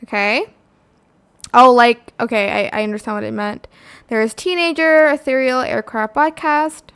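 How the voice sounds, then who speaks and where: A woman hosting a casual software tutorial, talking viewers through the steps. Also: fairly high pitch, moderate pace, clean tone. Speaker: video tutorial host.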